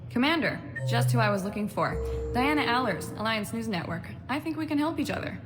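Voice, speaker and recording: An actress playing a confident, businesslike military reporter in a video game, with a brisk, persuasive manner. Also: female voice, fairly high pitch, lively intonation, over music, close to an everyday voice. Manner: brisk, persuasive, self-assured. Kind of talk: dramatic video game dialogue. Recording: reverberant hall, faint ambience. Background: faint ambience.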